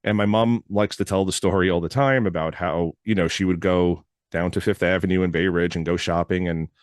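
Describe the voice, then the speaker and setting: A man from New York talks through a solo podcast episode in a loose, rambling way, filling his pauses with "uh." His very deep voice sounds hoarse and froggy. The recording is close and dry, with no room sound. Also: fairly even intonation, dry recording. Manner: rambling, loose, with frequent "uh" fillers. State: hoarse, froggy from recent illness. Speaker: podcast host. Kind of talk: casual solo podcast monologue.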